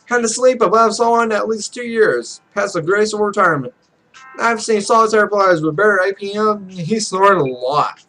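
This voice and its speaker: A cocky young male esports pro played by a man in a visual novel game. The voice is high and animated, telling things in a wry, joking storyteller's way. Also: moderate pace, faint music behind, rough recording.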